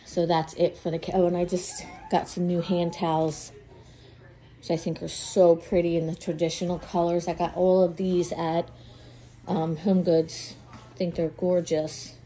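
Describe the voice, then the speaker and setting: Congested woman, mellow low voice, chatty vlogger narrating a handheld phone tour of her house. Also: flat delivery, normal speed, slight background noise. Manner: chatty, listing where items were bought. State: congested from a cold. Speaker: home vlogger. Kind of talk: casual home tour narration. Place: house interior, walking room to room. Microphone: handheld phone camera.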